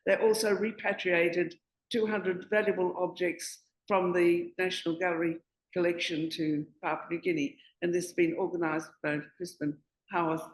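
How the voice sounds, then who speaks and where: A woman's voice: fairly low pitch, flat delivery, normal speed, echoey room, slight background noise, low-quality recording. A woman speaking as a panelist, earnest, correcting herself as she goes. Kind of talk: panel discussion.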